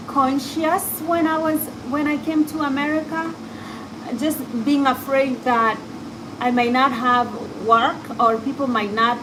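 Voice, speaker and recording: A woman with an African accent talks in a candid, reflective way, hosting a casual chat. Her voice sits a little higher than usual, with a clear hiss of background noise behind it. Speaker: podcast host.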